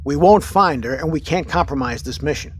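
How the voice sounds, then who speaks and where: An actor playing a steady, pragmatic submarine captain in an audio drama, with a clipped, commanding, matter-of-fact manner. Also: male voice, on the high side, brisk, slight background noise, natural voice. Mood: firm, resolved.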